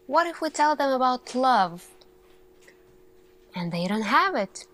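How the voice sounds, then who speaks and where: A woman performing an ASMR sci-fi roleplay as an earnest, thoughtful lead scientist, speaking deliberately with pauses. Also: high-pitched, big pitch swings, a bit bright. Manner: slow, deliberate, pausing, questioning delivery. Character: earnest, thoughtful female lead scientist addressing her team.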